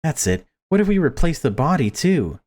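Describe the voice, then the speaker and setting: A man's voice: on the high side, very animated, moderate pace, a little mellow, voice pushed up. A controlling mother from a horror visual novel, as a male reader voices her. She is cruel beneath a polite front, given to excuses and curt orders. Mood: scheming, commanding. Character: cruel controlling mother, falsely sweet to outsiders.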